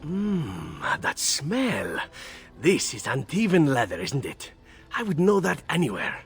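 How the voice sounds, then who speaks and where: A man's voice: a little high, huge pitch swings, slow pace, breathy edge, soft background music, full character voice. An actor playing a roguish, playful man in a video game, speaking in a suave, sensual, savouring manner. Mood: savouring, grateful. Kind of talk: video game dramatic acting.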